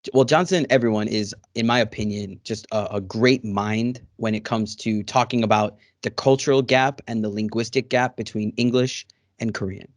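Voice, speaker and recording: A man's voice: lowish voice, moderate pace, no room sound, studio quality. A man hosting a casual interview over a video call, friendly and rambling with many fillers. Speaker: host. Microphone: studio microphone.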